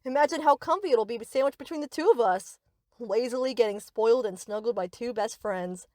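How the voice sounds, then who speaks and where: A woman's voice: a little high, a little fast, darkish tone, no room sound, clean studio sound, natural voice. A woman playing a sweet, reassuring but possessive young yandere best friend in an anime-style audio roleplay, coaxing and doting.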